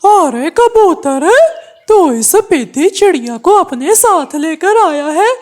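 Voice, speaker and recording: A woman's voice: extremely high pitch, lively intonation, slow pace, darkish tone, exaggerated voice. A woman voicing a mean, stubborn male crow in a cartoon story, taunting and threatening. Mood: mocking.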